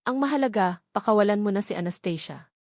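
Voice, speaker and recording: Woman doing a calm protective male hero. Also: medium pitch, fast talker, dry recording, studio quality, lightly acted. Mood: firm. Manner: calm, firm.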